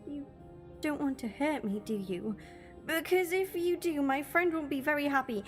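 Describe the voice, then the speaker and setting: A woman's voice: high voice, normal speed, faint music behind, close to an everyday voice. A young woman playing a feisty, wary captive in an audio drama, questioning and sceptical. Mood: wary defiance.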